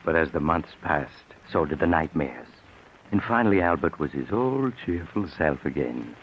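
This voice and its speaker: An actor playing a droll, knowing narrator of darkly comic old-time radio mystery tales. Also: male voice, very deep voice, animated, moderate pace. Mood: sardonic. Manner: droll, sardonic. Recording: dull, noisy vintage radio recording.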